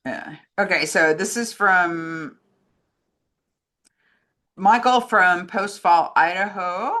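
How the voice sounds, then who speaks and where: A woman with a bright voice speaks as co-host of a casual film program. She moves between reading listener letters and chatting informally about movies. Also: on the low side, takes it slowly. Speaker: co-host reading fan questions.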